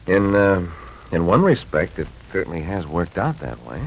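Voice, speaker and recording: A man's voice: sits low, sing-song, moderate pace, creaky, husky, heavily acted. An actor playing an earnest, folksy young husband and father in a 1940s radio drama. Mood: halting, uneasy. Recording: slightly noisy 1940s radio recording.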